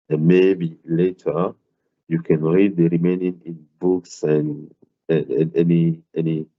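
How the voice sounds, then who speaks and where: A man with a very low voice and a non-native accent lectures an online maths class. He talks hesitantly, repeating words and filling pauses as he goes. The sound is dull and muffled, like a laptop mic on a video call. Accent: non-South African, foreign to English. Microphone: computer mic on a video call.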